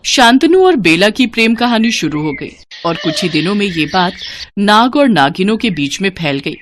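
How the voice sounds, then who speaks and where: A woman's voice: mid-range voice, sing-song, moderate pace, background noise. A woman storyteller reading scripted fairy-tale narration for a cartoon in a dramatic storytelling manner.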